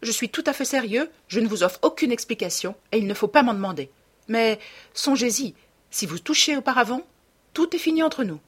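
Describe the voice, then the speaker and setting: A woman's voice: a little high, animated, fairly quick, breathy edge, voice pushed up. A woman voicing a secretive, angry young man in a novel reading, with insistent, emphatic protests. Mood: grave, threatening.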